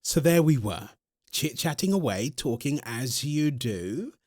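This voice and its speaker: A man acting as a smitten male vampire talks straight to his listener in an audio roleplay. His mid-pitched voice rises and falls with lots of animation. The delivery is chatty and conversational, and the sound is very dry, with no room around it. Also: big pitch swings, a little slow, a little mellow, no echo. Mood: animated storytelling. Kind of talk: scripted first-person audio roleplay storytelling.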